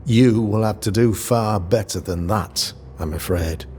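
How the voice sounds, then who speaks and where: An actor playing a solemn, self-regarding older town governor in a video game, speaking in formal, measured, archaic phrasing. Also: male voice, lowish voice, darkish tone, a little hiss or hum, close to an everyday voice. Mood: earnest, grave. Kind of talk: dramatic video game acting. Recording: music under the voice.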